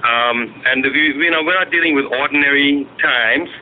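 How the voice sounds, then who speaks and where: A man speaking as a party spokesman in a radio political interview, in a measured, formal, argumentative and hesitant way. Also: a little high. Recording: noisy, narrow phone-like radio audio.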